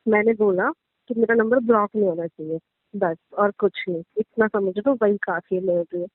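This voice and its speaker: A woman's voice: mid-range voice, moderate pace, no room sound, lightly acted. A woman playing an insistent, scolding newlywed wife in a naturalistic audio drama argument. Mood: firm, demanding. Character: insistent, suspicious newlywed wife demanding not to be blocked. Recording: tinny, dry phone-call sound.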